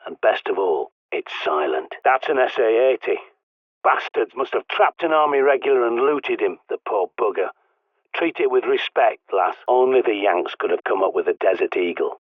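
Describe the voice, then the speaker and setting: An actor with a British accent playing a gruff ex-soldier survivalist who mentors the player over the radio, blunt and fatherly. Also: fairly low pitch, no echo. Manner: blunt, dry, fatherly, barks orders when urgent. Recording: tinny radio-filtered line, dry.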